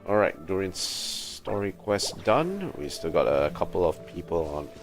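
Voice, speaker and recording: A man's voice: sits low, very animated, a little slow. A man livestreaming a video game with casual commentary, thinking aloud in short remarks. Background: game music.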